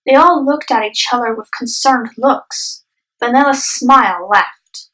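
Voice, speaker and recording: A woman's voice: a little high, measured pace, a bit bright, far from the mic, rough recording. A young adult woman reading scripted narration for a dramatic story.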